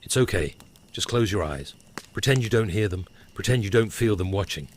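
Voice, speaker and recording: Man acting out a deep-voiced, ominous future self. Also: lively intonation, brisk, lightly acted. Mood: soothing, eerie. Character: narrator's frightened future self leaving warning voicemails. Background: crackling fire ambience.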